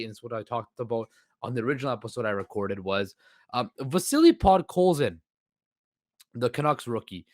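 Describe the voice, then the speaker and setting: Hoarse man rambling through sports talk. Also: mid-range voice, dry recording. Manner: rambling, many fillers and restarts. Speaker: podcast host. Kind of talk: solo sports podcast commentary.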